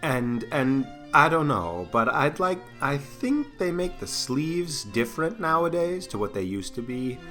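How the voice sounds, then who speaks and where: A man's voice: fairly high pitch, sing-song, bright, forceful tone, faint music behind, heavily acted. An actor playing a shy, awkward farmer in his sixties who stammers and halts through every sentence in an audiobook drama. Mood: flustered. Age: 60s. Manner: stammering, hesitant, halting. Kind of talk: full-cast audiobook acting.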